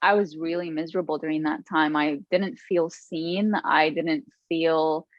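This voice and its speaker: A woman from Lebanon, a guest author who speaks candidly and slowly, with a few restarts. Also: low-pitched, steady tone, no echo, lo-fi sound. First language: Arabic. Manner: thoughtful, slow, candid, with restarts. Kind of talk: reflective personal interview.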